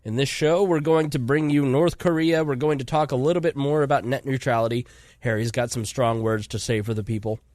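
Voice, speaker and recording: A man with a cold-congested voice hosts a casual podcast, chatty and rambling, often restarting his sentences. His voice sits at an ordinary middle pitch. The sound is dry and close, with no room around it. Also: fairly even intonation, brisk, a little mellow, no echo. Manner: rambling, self-interrupting, chatty. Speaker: podcast host.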